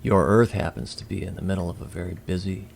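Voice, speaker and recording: Man playing a gravelly, formal alien emissary. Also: low-pitched, fairly even intonation, darkish tone, a little hiss or hum. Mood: solemn, explanatory. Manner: formal, measured, precise wording. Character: polite, curious, formal male alien emissary. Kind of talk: audio drama acting.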